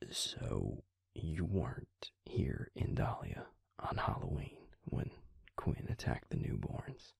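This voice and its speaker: Man voicing a slow, gravelly Southern vampire. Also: a bass voice, sing-song, a little mellow, dry recording, studio quality, full character voice. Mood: careful, slow. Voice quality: breathy, gravelly. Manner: unhurried, soothing, half-whispered. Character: gentle, protective male vampire, teasing Southern charmer. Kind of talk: intimate scripted audio roleplay, addressing the listener.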